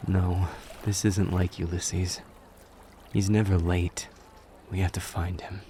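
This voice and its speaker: A man playing a gruff, stubborn, determined warrior king in an ASMR audio roleplay, with a dark, husky voice and terse delivery. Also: deep voice, flat delivery, slow pace, a little hiss or hum. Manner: terse, resolute.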